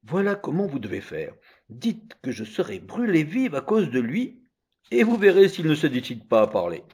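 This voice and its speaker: A man's voice: fairly high pitch, sing-song, moderate pace, a little mellow, husky, pitched above the performer's own voice. A man voicing a frightened young woman accused of a crime, reading her lines in a pleading, tearful manner. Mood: scheming.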